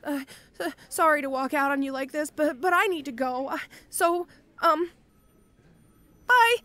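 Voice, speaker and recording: Casting: female actor as timid, muscular orc schoolgirl for roleplay audio; high pitch, slow, stammering, apologetic delivery. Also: bright, forceful tone, dry recording. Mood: flustered, gentle. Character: shy, awkward, muscular tomboy orc schoolgirl. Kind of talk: roleplay audio acting addressed to the listener.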